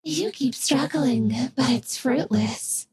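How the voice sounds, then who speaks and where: A woman playing a sinister, arrogant villainous creature in a fantasy audio drama, gravelly and menacing. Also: high voice, takes it slowly, darkish tone, a little hiss or hum, heavily acted. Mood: gloating. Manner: menacing, gloating.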